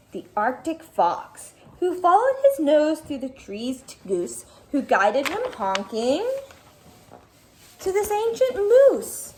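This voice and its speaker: A woman schoolteacher reading aloud in an expressive, dramatic storytelling style with casual asides. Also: sits high, rough recording. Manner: animated, dramatic storytelling delivery.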